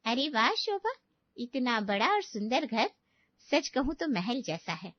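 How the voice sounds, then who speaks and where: A woman's voice: fairly high pitch, very animated, measured pace, muffled recording. Woman playing an outspoken, moralizing auntie. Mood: admiring. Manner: frank, moralizing. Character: outspoken, reproachful older family friend, an auntie.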